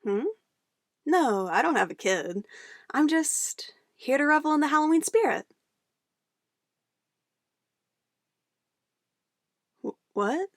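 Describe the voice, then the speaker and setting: A woman's voice: high voice, expressive, normal speed, no room sound, studio quality. A woman playing an obsessive, controlling witch in an ASMR roleplay, a sweetly menacing lover who moves between coaxing endearments and firm commands. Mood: playful, then pleading.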